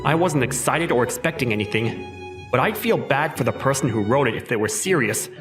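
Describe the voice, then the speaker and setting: A man playing an exasperated, awkward teenage schoolboy, telling his story in an expressive, incredulous manga dub style. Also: medium pitch, a little fast, natural voice. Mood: wry narrating. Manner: expressive, incredulous, self-talking. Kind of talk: manga dub narration and dialogue. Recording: music behind the voice.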